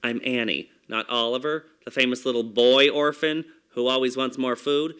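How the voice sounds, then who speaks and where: Male performer, mid-range pitch, soft feminine-leaning voice; introspective, hushed confessional monologue. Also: steady tone, normal speed, clear, resonant tone.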